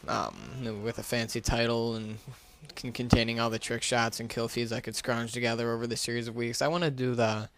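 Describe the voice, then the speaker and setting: A man's voice: mid-range voice, level tone, a little fast, few pauses, fairly bright tone, slight background noise. A man giving a casual, unscripted gaming commentary into a gaming headset, talking in a hesitant, rambling, self-deprecating way. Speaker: gaming YouTuber.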